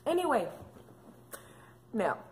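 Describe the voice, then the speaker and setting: A woman's voice: fairly high pitch, very animated, takes it slowly, slight background noise. A woman, chatting casually to camera as a vlogger, playful and joking with lots of fillers. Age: 30s. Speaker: fitness and mom vlogger. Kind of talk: casual chatty vlog talk.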